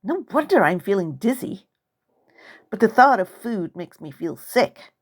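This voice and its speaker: A woman author narrating a first-person story in character as a child, with expressive, dramatic storytelling. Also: mid-range voice, measured pace. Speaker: author reading own novel. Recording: music under the voice.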